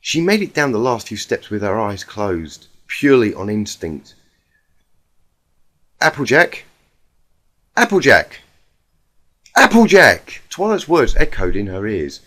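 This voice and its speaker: A man's voice: lowish voice, very animated, moderate pace, hardly any pauses. A man reading a scripted story aloud as a narrator, in a dramatic storytelling style. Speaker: fan fiction reader.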